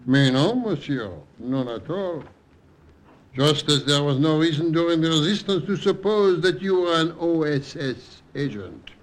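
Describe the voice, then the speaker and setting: An actor playing an old, discreet French hotel clerk in a radio drama, deliberate and formal, with a French accent. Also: male voice, a little high, lively intonation. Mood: knowing, courteous. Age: older. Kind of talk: 1940s-50s radio drama acting.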